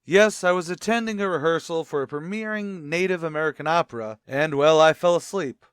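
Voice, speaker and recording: A man playing a dignified, cultured music critic, formal and deadpan, in an old-time radio sitcom. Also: fairly high pitch, fairly quick, smooth, no room sound, studio quality, natural voice. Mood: wounded dignity.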